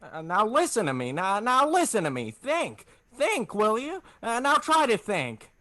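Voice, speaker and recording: An actor playing an agitated small-town family man in 1940s radio drama, halting and stammering as he talks. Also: high-pitched, huge pitch swings, sharp tone, no room sound, full character voice. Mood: angry, desperate. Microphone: close, dry video-call microphone.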